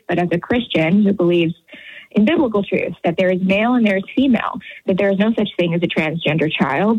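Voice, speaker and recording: A woman in her thirties with an American accent, giving an earnest, halting personal account as a radio interview guest. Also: medium pitch, breathy edge. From Houston area, Texas, United States. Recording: narrow phone-like line, roomy echo.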